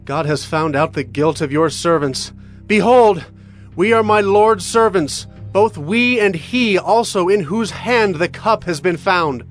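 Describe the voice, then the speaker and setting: Judah, earnest pleading brother, a man voicing him, high-pitched, soft music behind. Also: unhurried. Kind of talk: dramatized scripture reading.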